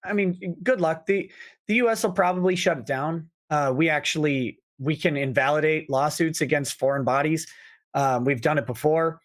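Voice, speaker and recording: Man explaining on a livestream, slightly high. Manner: explains in a measured way, with quick restarts. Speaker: co-host. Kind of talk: casual livestream chat.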